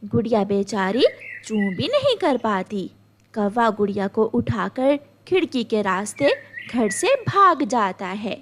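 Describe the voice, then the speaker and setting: A woman reading scripted narration for a children's cartoon story in an expressive storytelling manner. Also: a little high, slow pace, a little hiss or hum.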